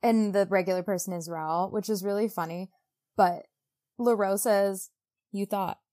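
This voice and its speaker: A woman's voice: mid-range voice, steady tone, normal speed, mellow. A woman co-hosting a casual book podcast, talking in a chatty, gushing way full of conversational asides. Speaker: podcast co-host.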